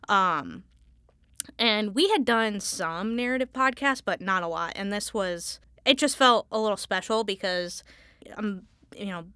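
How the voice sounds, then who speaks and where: A woman speaking as a guest in a casual interview, informal and halting as she thinks aloud. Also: medium pitch, sharp tone, clean tone, no echo, studio quality. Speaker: Foley artist, interview guest.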